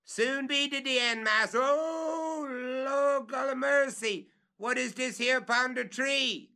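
A man voicing an old servant who calls down from high in a tree in a strained, high voice with thick rural dialect. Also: sing-song, normal speed, fairly bright tone. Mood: calling, wary. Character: old male servant calling down from a treetop.

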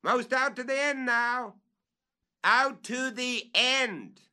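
A man voicing an old servant who calls down from high in a tree in a strained, high voice with thick rural dialect. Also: sing-song, normal speed, fairly bright tone. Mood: calling, wary. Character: old male servant calling down from a treetop.

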